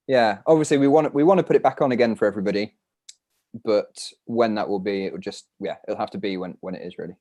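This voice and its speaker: A man with a British accent and a fairly low voice answers questions in a relaxed, informal chat. He rambles a bit, working out his thoughts as he speaks. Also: fast talker. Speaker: professional rock climber answering questions. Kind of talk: casual live Q&A chat.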